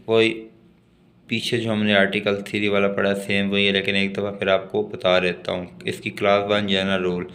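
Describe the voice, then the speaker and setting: A man with a Pakistani accent giving a law lesson, reading out and explaining in a deliberate, explanatory way. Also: on the low side, fairly bright tone. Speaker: law lecturer. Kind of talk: lesson, reading English legal text aloud and explaining it in Urdu.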